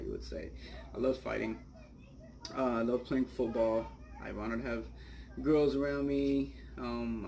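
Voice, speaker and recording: A man's voice: medium pitch, vocal fry, dark tone, a little hiss or hum, low-quality recording. A man in his late twenties from Bangladesh, bluntly telling his personal faith testimony in a casual, rambling way. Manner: blunt, candid, rambling with fillers. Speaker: testimony speaker. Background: guitar music.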